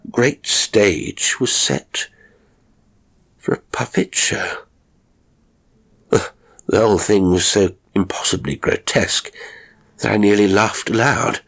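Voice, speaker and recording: A man narrating a first-person strange story from a script, with dramatic, expressive delivery and deliberate pauses. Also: fairly low pitch, vocal fry. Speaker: audiobook narrator of strange stories.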